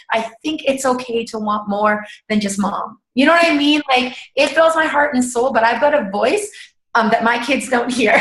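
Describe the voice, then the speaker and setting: A woman speaking casually and with feeling about her work as a coach, emphatic and quick to laugh. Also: sits high, a bit bright. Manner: enthusiastic, emphatic, laughs often. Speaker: network marketing coach. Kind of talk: casual testimonial talk. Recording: echoey room.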